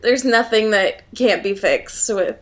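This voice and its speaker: Female podcast co-host, casual and giggly, animated intonation at an unhurried pace. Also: medium pitch. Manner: giggly, conversational. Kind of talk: casual comedic book discussion.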